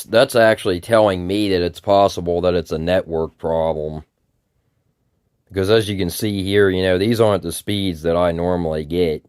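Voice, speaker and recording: Low-voiced, slightly raspy man. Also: a little mellow, no room sound, clean studio sound. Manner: rambling, many fillers and repeated words. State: a bit raspy from just waking up. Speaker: tech vlogger. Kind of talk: casual screen-recorded commentary.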